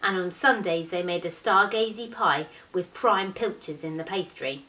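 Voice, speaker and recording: A woman in her thirties, a teacher, reading a picture book aloud expressively with measured, deliberate storytelling phrasing. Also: fairly low pitch, bright, forceful tone. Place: small living room, indoors, on a sofa. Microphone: camera a few metres away. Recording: distant microphone in a living room.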